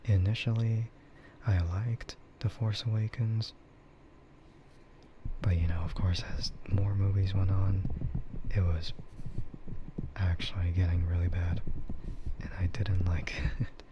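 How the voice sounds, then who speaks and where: A man speaking softly in a breathy half-whisper, rambling casually and hesitantly like an ASMR streamer close to the mic. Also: low voice, flat delivery, slow pace, pauses often. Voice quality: soft, breathy, often whispered. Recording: muffled lo-fi stream audio, clear background noise.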